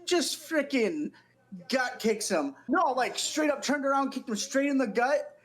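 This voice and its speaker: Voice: sits high, lively intonation, a little slow. Casual talker from western Canada, mid-range voice. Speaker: talk show guest, former school youth worker. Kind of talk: casual chat, anecdotes.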